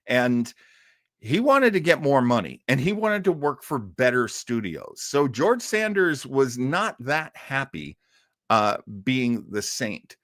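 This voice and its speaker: A man hosting a live listening show, telling stories in a casual, informative way with emphatic phrasing and dramatic pauses. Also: medium pitch, sing-song, fairly bright tone, no echo, clean studio sound. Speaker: host of a live old-time radio listening show. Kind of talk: casual informative storytelling to an online audience.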